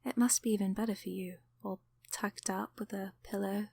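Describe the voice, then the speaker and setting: A woman playing a gentle, apologetic sleep paralysis demon in an ASMR roleplay, soft-spoken and often whispering reassurance. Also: medium pitch, steady tone, normal speed, creaky, breathy edge. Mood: gentle, slightly sheepish. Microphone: close microphone.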